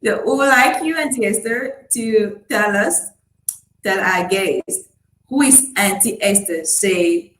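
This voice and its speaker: A woman's voice: mid-range voice, slow, fairly bright tone. Young cameroonian woman co-hosting, gushing, roomy echo. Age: young adult. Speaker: co-host. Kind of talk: casual interview chat.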